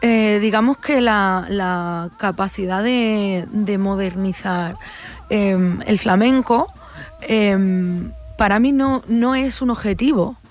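A woman's voice: mid-range voice, takes it slowly, darkish tone. Spanish woman, hesitant and thoughtful. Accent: Spanish, from Barcelona. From Spain. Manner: thoughtful, hesitant with many fillers and restarts. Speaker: flamenco singer. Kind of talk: interview answers.

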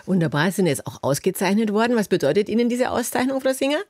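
A woman radio presenter putting friendly, brisk questions to a guest. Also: mid-range voice, animated, a little mellow. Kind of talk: radio interview.

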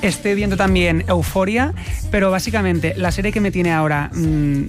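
A man with a Spanish accent from Spain, chatting in an expressive, colloquial way as an interview guest. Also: high voice, moderate pace, soft background music. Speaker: guest actor being interviewed. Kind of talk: casual interview chat.

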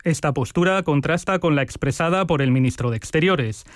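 A man's voice: fairly high pitch, dry recording. Formal male news anchor, dry studio. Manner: measured, formal delivery. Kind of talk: scripted news reading.

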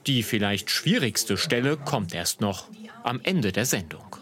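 A man's voice: on the low side, sing-song, measured pace, a bit bright. A man with a standard German accent narrating a scripted TV report.

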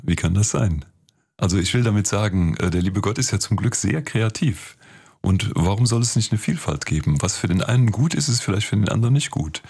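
A man's voice: on the low side, expressive, fairly quick, darkish tone, breathy edge. A man, a clergyman, explaining things in an interview in a plain-spoken, colloquial way.

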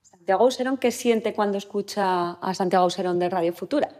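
A woman's voice: medium pitch, brisk, a little mellow. A woman interviewing a musician, asking polite, formal questions.

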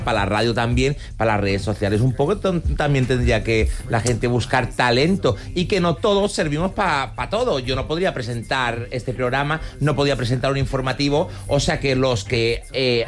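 A man with a Spanish accent from Spain, chatting casually and bluntly as a panelist. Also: medium pitch. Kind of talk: casual chat.

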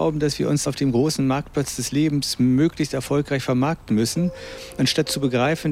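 A man's voice: mid-range voice, darkish tone, slight background noise. Brief: male philosopher, articulate and reflective, mid-range voice. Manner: measured, thoughtful and articulate. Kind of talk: reflective interview answers.

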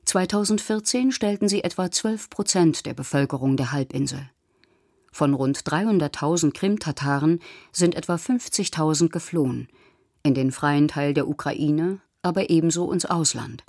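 Low-voiced woman narrating a news report. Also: darkish tone, no room sound, studio quality. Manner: measured, matter-of-fact. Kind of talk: scripted news documentary narration. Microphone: studio voice-over.

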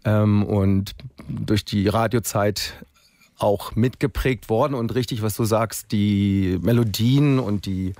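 A man in his forties from Berlin, a singer chatting in a relaxed, casual interview with many fillers. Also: on the low side, takes it slowly, no room sound. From Berlin, Germany. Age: 40s.

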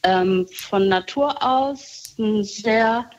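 A woman talking casually on a call, reflective and soft-spoken, often laughing lightly. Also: fairly high pitch, very slow, lo-fi sound. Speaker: guest.

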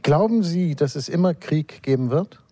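A man's voice: fairly high pitch, animated, moderate pace, warm tone, dry recording. A man hosting a conversational long-form interview, feeling his way tentatively toward his questions. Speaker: interviewer, host.

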